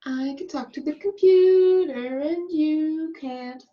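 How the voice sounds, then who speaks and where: A woman playing an excitable, candid actress aboard a starship, expressive and conversational, in an amateur table read. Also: high voice, slow. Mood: amused. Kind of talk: amateur remote table read of a sci-fi comedy. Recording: slightly dull, lo-fi home recording.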